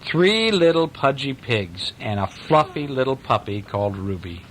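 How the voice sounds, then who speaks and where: A man reading a storybook aloud to children in a playful, animated way, with asides to his young listeners. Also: medium pitch, moderate pace, slight background noise, poor audio. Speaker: parent reading a bedtime story.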